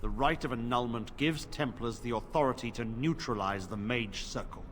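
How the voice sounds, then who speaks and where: An actor playing a stern middle-aged knight commander in a video game, grave and commanding. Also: male voice, mid-range voice, moderate pace, a little hiss or hum, close to an everyday voice. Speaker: game character.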